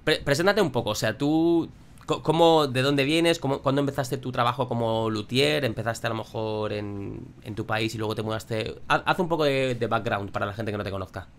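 A male podcast host puts a long, meandering question to his guest, restarting his phrasing several times. His voice sits at an ordinary middle pitch. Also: a little fast. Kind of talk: casual interview questions.

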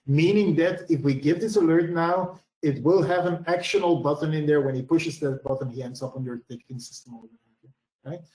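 A man's voice: medium pitch, darkish tone, echoey room, rough recording. Belgian man. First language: Dutch. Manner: explanatory, frequent "right?" tags and "uh" fillers. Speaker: security product presenter. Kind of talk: webinar screen-share demo.

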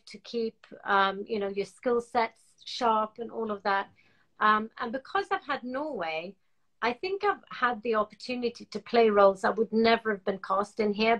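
A woman's voice: on the high side, pushed and bright. A woman whose first language is Norwegian, a guest in a video-call interview, answering thoughtfully with light laughs. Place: home room. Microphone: video call webcam.

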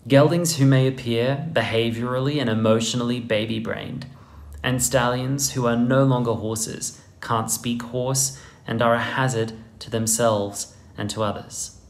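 A man reads a scripted essay with earnest, deliberate emphasis. His mid-range voice has a gravelly edge, and he sits close to the camera in a small indoor room. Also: mid-range voice, fairly even intonation. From Netherlands. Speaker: horse trainer presenting a video essay. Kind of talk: scripted video essay narration. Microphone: close camera framing, head and shoulders.

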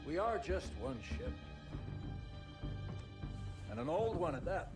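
Older male warship captain for a game cutscene: stern, commanding, measured, expressive pitch, music underneath. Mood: weary resolve. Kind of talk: dramatic video game cutscene.

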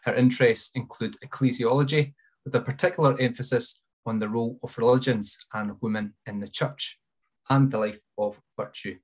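Male moderator, thirties, average pitch, reading scripted introductions and housekeeping notes through an earphone mic on a video call. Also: steady tone, normal speed, slightly rough, poor audio. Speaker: event moderator. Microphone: wired earphone mic on a video call.

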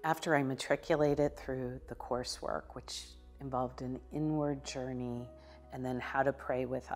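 A woman minister giving a contemplative devotional teaching in a living room, with measured delivery and occasional hesitations. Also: a bass voice, darkish tone. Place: living room with a sofa, indoors.

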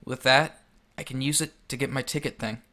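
A man acting in an audio drama as a subdued, earnest young man with a halting way of talking. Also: mid-range voice, steady tone, pushed and bright, natural voice. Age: young adult. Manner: halting, hesitant.

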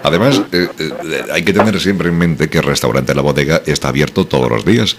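A Spanish radio host giving an emphatic, salesy sponsor promotion with lots of exclamations. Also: male voice, a bass voice, fairly quick, noisy background. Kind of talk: enthusiastic radio promo chat.

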